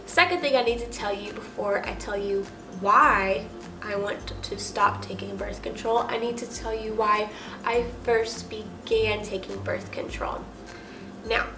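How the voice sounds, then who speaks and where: Congested young American woman, higher-pitched voice. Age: young adult. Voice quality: nasal, congested. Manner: chatty, emphatic, peppered with "like". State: stuffed up with a cold. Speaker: vlogger. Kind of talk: casual personal storytime to camera. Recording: roomy echo with music underneath.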